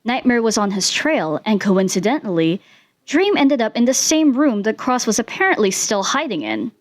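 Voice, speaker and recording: A woman reading fan fiction as an expressive scripted story narrator. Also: on the high side, normal speed.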